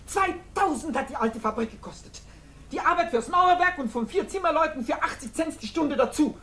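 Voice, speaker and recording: A woman's voice: sits high, animated, moderate pace, a bit bright. An actress playing a stern, domineering middle-aged businesswoman in a 1950s radio drama, reproachful and scornful. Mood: stern, businesslike.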